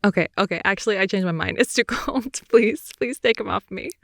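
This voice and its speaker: A woman improvising an intimate ASMR roleplay as a playful, affectionate girlfriend in bed, speaking softly, often in whispers and sighs, close to the microphone. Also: mid-range voice, fairly quick, no room sound. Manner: soft, often whispery, sighing, teasing. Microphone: close microphone, dry intimate sound.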